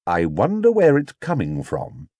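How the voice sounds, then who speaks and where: A man's voice: lowish voice, wildly swooping pitch, unhurried, mellow, no room sound. A man voicing a polite, earnest young bear in a children's story, with a slightly hesitant, vague manner. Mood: feigned innocence.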